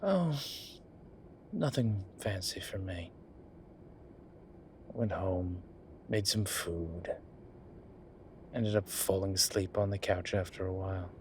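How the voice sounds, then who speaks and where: A man playing a wry, laid-back incubus demon professor in a roleplay audio drama, talking with breathy pauses and trailing sentences. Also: sits low, a little hiss or hum. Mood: relaxed, friendly. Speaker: voice actor. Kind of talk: roleplay audio drama, one side of a conversation.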